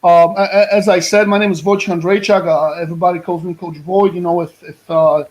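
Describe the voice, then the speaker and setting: A man's voice: sits high, little pitch movement, fairly quick, rough recording. A Polish man with a mid-Atlantic-tinged accent, an American football coach speaking energetically over a video call, often restarting his words. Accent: Polish with a mid-Atlantic English lilt. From Poland. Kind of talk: guest presentation on a video call.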